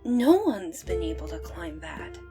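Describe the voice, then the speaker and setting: A woman's voice: fairly low pitch, very animated, a little slow, mellow, soft background music. A woman playing a small, nervy female wizard in a fantasy audio drama. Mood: nervous.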